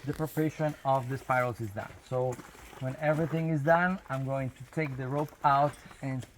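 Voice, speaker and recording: A man who is not a native English speaker gives an informal explanatory talk to a group in a halting, emphatic way, with non-native phrasing. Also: medium pitch, steady tone. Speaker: spiritual workshop leader. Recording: noisy outdoor recording, natural ambience.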